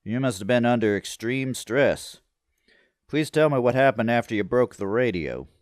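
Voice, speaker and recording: An actor playing a concerned priest in a horror audio drama, measured and sympathetic as he gently questions. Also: medium pitch, expressive, dry recording, studio quality, lightly acted. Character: gently probing, concerned priest hearing a confession.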